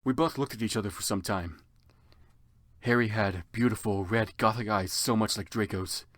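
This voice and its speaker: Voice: mid-range voice, moderate pace, a bit bright, no room sound, studio quality. A narrator giving a theatrical, mock-serious dramatic reading of a story, with comic asides. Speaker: narrator of a fan fiction reading.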